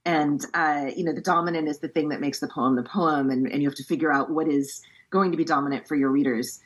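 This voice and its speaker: A woman translator with a thoughtful, measured manner who reads a poem aloud and then explains her choices, often restarting her sentences. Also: low voice, fairly even intonation, slightly rough. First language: English. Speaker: poetry translator. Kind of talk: poetry reading and book-event discussion.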